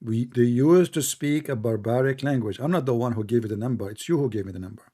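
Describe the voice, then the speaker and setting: A man's voice: mid-range voice, quick pace, warm tone. A man hosting a religious debate, pressing the caller and repeating his questions insistently. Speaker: debate host.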